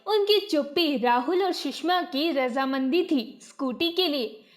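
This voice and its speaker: A woman's voice: high-pitched, measured pace. A woman narrating a scripted moral story as a storyteller.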